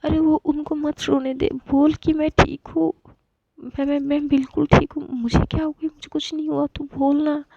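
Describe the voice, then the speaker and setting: A distraught young woman pleads through tears, stammering and repeating her words, as a woman narrator puts her on. Her voice is high with a gravelly edge, recorded dry and a bit dull. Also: little pitch movement, moderate pace, dark tone, no echo. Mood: pleading.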